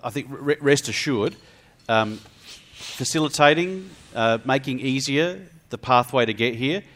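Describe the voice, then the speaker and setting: A man's voice: fairly low pitch, a bit bright. A man on a panel gives an earnest answer, repeating words and restarting.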